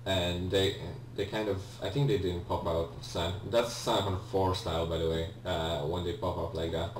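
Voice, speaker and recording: Guy doing a lets play, deep voice, kinda rambly and hesitant, mic sounds far off w some hum. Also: steady tone, moderate pace. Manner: hesitant, rambling, self-correcting. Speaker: let's player, video game host. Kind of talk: casual gameplay commentary. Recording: roomy, distant mic with faint hum.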